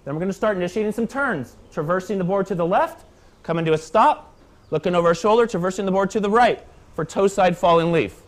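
A man in his thirties coaching beginners through snowboard moves in short, calm cues. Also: sits high, fast. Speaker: snowboard instructor. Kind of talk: step-by-step instructional demonstration. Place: indoor training slope under a roof.

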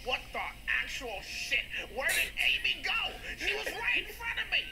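A woman's voice: very high-pitched, sing-song, normal speed, loud music behind the voice. A woman voicing a blustering, melodramatic male villain in an improvised comedy game fandub. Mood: distressed. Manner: hammy, sweary. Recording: very noisy screen-shared video playback.

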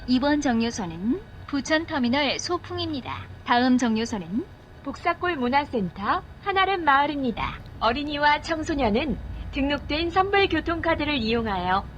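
A woman reading scripted transit announcements as a bus stop announcer, in a formal, measured way. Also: high voice, sing-song, a bit bright, lo-fi sound. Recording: noisy recording inside a moving bus. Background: vehicle noise.

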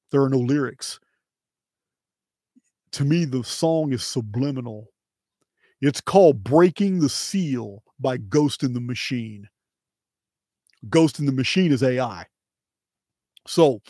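A middle-aged man with an American accent presenting solo, emphatically and deliberately, in short phrases with pauses, close to the microphone. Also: on the high side, dark tone, no echo. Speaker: video host and presenter. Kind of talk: solo presentation to camera, dramatic commentary. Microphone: close microphone on boom arm.

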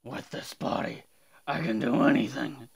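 A man's voice: medium pitch, a little slow, vocal fry, slightly rough, voice pushed down, exaggerated voice. A man voicing a smug, menacing evil anime hero's double, sneering as he taunts. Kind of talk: anime villain voice impression. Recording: game sound effects behind.

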